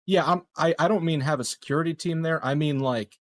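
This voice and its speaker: Halting man in a tabletop game, dry sound. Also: on the high side, fairly bright tone, no echo. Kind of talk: casual planning discussion.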